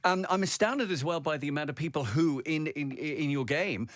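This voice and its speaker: A British man interviewing casually on the radio, in a rambling, halting way with chatty phrasing. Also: fairly high pitch, no echo, studio quality.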